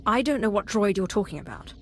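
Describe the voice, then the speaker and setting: An actress playing a cool, imperious Sith sorceress in a video game, composed and dryly threatening. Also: female voice, mid-range voice, very animated, quick pace, a little hiss or hum, lightly acted. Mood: cool, superior. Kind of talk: video game character acting.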